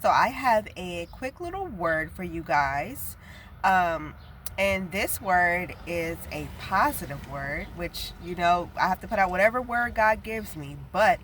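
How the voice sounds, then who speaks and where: A young woman speaks in a bright, nasal voice, stuffed up from a head cold. She delivers a prophetic message in a casual, earnest way, rambling with fillers as she goes. Faint background noise sits under her voice. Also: on the low side. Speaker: prophetic video preacher.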